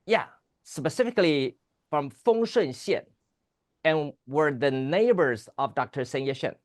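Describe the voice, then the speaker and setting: A man's voice: on the high side, expressive, measured pace. Taiwanese man hosting an interview. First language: Chinese. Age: middle-aged or older. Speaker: talk show host and interviewer. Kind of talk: formal interview with light banter.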